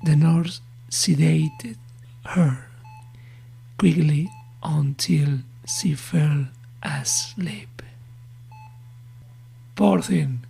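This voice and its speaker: Female narrator, ominous horror storytelling, very slow pacing, gravelly fry, faint music behind. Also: low-pitched, mellow.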